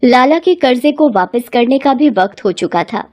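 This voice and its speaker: Higher-pitched woman narrating a moral tale. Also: animated, moderate pace. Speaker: story narrator.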